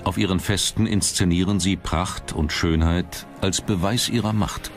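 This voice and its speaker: A man's voice: low-pitched, steady tone, normal speed. A man reading scripted narration for a documentary as its narrator. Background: background music.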